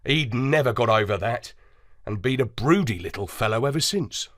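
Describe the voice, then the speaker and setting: A woman narrating a children's story with an expressive storybook cadence. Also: extremely low pitch, moderate pace.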